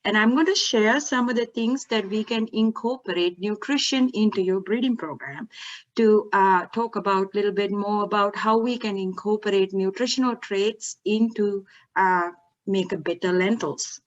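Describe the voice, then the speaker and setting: A woman's voice: medium pitch, moderate pace. A woman from Sri Lanka, a researcher, opening her talk at an online workshop. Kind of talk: online presentation. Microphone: video call. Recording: muffled video call audio.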